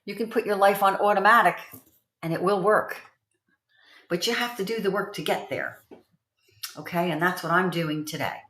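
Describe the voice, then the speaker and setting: A woman's voice: on the low side, moderate pace, pauses often, fairly bright tone. A woman in her seventies talking casually to livestream viewers in a chatty, rambling way, repeating key phrases. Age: 70s. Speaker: livestream host promoting online income. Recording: roomy, echoey sound.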